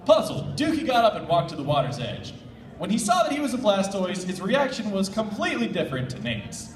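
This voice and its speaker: A man reading aloud and bantering in a theatrical, excitable way at a live comic panel. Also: sits high, fairly quick. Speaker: panelist and reader. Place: convention panel room. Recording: echoey panel room, mic at distance.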